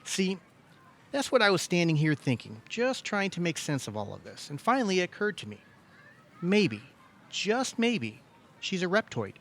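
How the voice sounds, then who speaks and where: A man playing an earnest, hesitant, credulous brother in a comedic audio play, tentative but easily excited. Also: sits high, normal speed, heavily acted. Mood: musing, sheepish. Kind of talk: comedic audio theatre acting.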